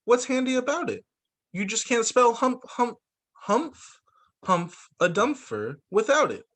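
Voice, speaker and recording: A man who is a teacher reading a children's picture book aloud in an expressive, sing-song storytelling way. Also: very high-pitched, measured pace. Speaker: teacher reading to young students.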